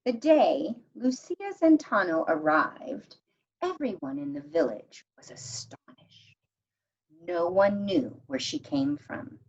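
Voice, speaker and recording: A woman reading a children's picture book aloud in a measured, expressive storytime manner, as if to young listeners. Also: on the low side, slightly rough, muffled recording. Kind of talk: read-aloud storytime narration.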